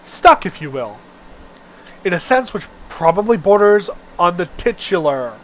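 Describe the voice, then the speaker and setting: A man's voice: high voice, sing-song, a little slow, fairly bright tone, a little hiss or hum, low-quality recording. A young man giving an amateur, theatrical read-aloud of a story, with the odd stumble. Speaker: reader of a webcomic read-aloud.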